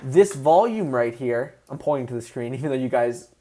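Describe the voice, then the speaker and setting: A man hosting a casual livestream, excitable and answering viewers' chat questions as he goes. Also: medium pitch, normal speed. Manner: excitable, voice rises when passionate.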